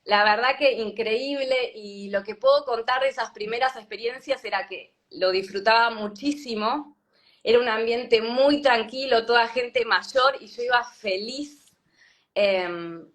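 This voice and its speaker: A woman in her thirties with an Argentine Spanish accent, from Patagonia, telling her story as a guest in an interview, thoughtful and pausing often with fillers. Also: on the high side, fairly bright tone. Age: 30s. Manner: thoughtful, many fillers and restarts. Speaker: yoga teacher, guest. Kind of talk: reflective interview talk.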